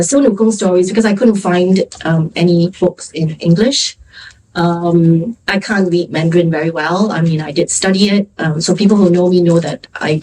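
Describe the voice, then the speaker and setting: A woman from Singapore speaking casually on a festival panel, hesitant with many pauses. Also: mid-range voice, darkish tone, far from the mic, slight background noise, low-quality recording. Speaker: author on a panel. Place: festival venue.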